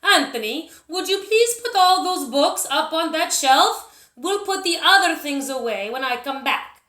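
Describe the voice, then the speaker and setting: A woman's voice: high voice, animated, a little slow, bright, forceful tone, roomy sound, pitched above the performer's own voice, exaggerated voice. A woman voicing a fussy, exasperated Italian schoolteacher in a storybook, insistent and sing-song.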